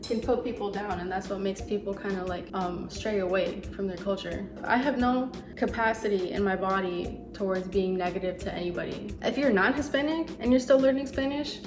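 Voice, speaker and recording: A woman's voice: mid-range voice, brisk, hardly any pauses. A woman from the United States chatting in a rambling way while she cooks in her home kitchen. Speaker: cooking vlogger. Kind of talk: casual cooking vlog chat. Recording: echoey kitchen, distant mic, soft music.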